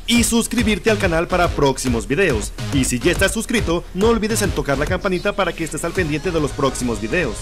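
A man's voice: a little high, very animated, brisk, hardly any pauses, over music. A male fandub creator with a Latin American Spanish accent addresses viewers. He delivers a scripted sign-off for his video channel.

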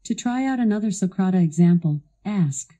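A woman's voice assistant delivering scripted product demo narration in a polished, instructional, clearly enunciated way. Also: mid-range voice, lively intonation, normal speed, mellow.

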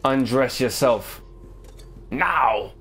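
A man's voice: medium pitch, extremely slow, a little hiss or hum. A young man giving loose, profane let's-play commentary from a home gaming setup with a webcam. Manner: loose, slangy, profane, excitable. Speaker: gaming streamer.

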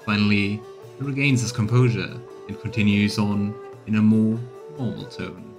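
A man's voice: lowish voice, steady tone, normal speed. A man in his thirties streaming a game, commenting casually and reading dialogue aloud. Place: small room indoors, on webcam. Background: game music.

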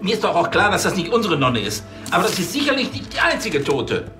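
A man's voice: sits high, huge pitch swings, fast talker, over music. A man playing a zealous, self-important policeman full of conspiracy theories in a crime comedy. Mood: insistent. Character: pompous, zealous conspiracy-minded male policeman.

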